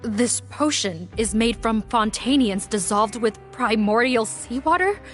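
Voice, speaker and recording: A woman's voice: on the high side, lively intonation, measured pace, faint music behind. An actress playing a stern female captain who gives orders and speaks with authority in a video game. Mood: wry.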